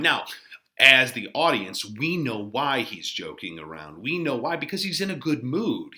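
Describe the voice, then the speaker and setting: A man teaching, giving informal, animated, conversational commentary on a play. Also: a little high. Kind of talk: explanatory lesson commentary on a play.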